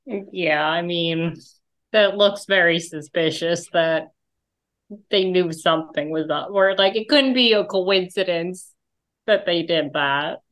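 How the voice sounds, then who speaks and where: A woman co-hosting a casual podcast who chimes in with brief, quick responses and agreements. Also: lowish voice, fairly bright tone. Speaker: podcast co-host.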